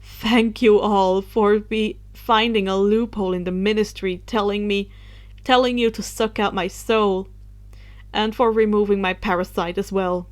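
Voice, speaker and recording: A woman's voice: medium pitch, fairly even intonation, a little slow, lightly acted. A woman reading a story as a wry, awkward, huffy teenage boy turned into a spectral creature. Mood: amused, grateful. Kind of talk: audiobook character voice.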